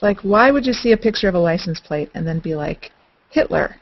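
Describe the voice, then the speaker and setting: A woman's voice: on the low side, animated, a little fast. A woman chatting casually as a participant in an online group call, in a conversational anecdotal style. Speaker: hangout participant. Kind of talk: casual chat, telling anecdotes. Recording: muffled, noisy video call with clicks.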